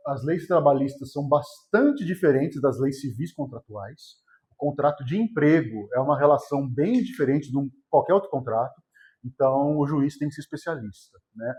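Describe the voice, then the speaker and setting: A man's voice: on the high side, a little mellow. A man with a Brazilian accent from São Paulo state, giving an informal exam-prep history lecture in a colloquial way, often checking in with short tag questions. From São José dos Campos, Vale do Paraíba, Brazil. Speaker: history teacher.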